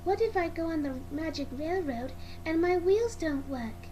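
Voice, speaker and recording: A woman's voice: very high voice, animated, moderate pace, dark tone, pitched above the performer's own voice. A woman voicing a proud, earnest, chirpy little male train engine in a storybook read-along. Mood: nervous. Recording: clearly noisy amateur recording.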